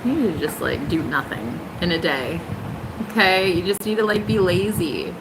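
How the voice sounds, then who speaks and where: A young woman hosting a casual tarot livestream, sassy and emphatic, jumping high in pitch for punchlines. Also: lively intonation, normal speed, background noise.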